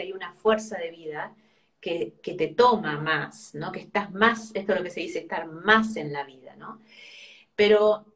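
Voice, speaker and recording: A middle-aged woman with an Argentine Spanish accent explains things reflectively on a video call, often checking in with short tag questions. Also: medium pitch. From Argentina, born in Brazil. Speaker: host, family constellations teacher. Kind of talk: casual live video conversation, explanatory. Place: furnished room at home.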